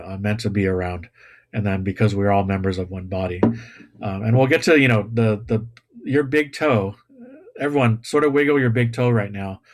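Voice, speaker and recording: A man preaching a sermon with a somewhat low voice. He talks in a loose, conversational way and makes self-deprecating jokes and pop-culture references. He is speaking to a congregation gathered online. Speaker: pastor. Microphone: video call or livestream.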